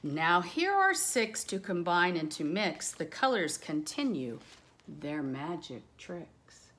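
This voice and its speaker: Woman reading aloud slowly, very lively pitch. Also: slight background noise. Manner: expressive, dramatic emphasis, lingering on words. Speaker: read-aloud storyteller. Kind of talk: animated picture book read-aloud with casual asides.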